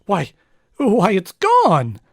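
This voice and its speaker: A man acting a megalomaniac villain posing as a professor in an old-time radio play, speaking in a deliberate, grandiose, theatrical manner. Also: high voice, wildly swooping pitch, noisy background, heavily acted. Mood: feigned awe.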